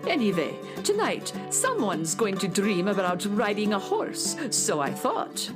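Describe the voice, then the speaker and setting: A woman's voice: mid-range voice, sing-song, a little slow, music in the background. A woman playing a grand, self-important theatrical stage director in a children's radio play. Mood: resigned.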